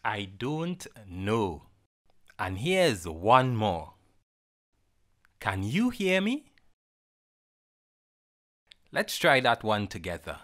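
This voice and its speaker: Children's lesson presenter, very unhurried, varied intonation, simple instructional phrasing. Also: mid-range voice, big pitch swings, a bit bright. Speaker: presenter teaching sign words. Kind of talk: sign language lesson narration for children.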